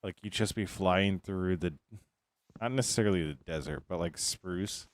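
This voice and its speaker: A man with a deep, low voice chatting casually as a game streamer, musing and repeating himself as he thinks aloud. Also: moderate pace, dry recording. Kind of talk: casual chat while playing.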